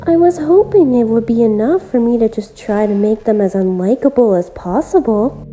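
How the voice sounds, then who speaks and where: A woman voicing a lonely, obsessive, self-aware visual novel game girl who speaks gently and intimately, straight to the listener, in an amateur voice-acted monologue. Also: a little high, expressive, natural voice. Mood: breezy, unsettling. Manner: gentle, deliberate, intimate direct address.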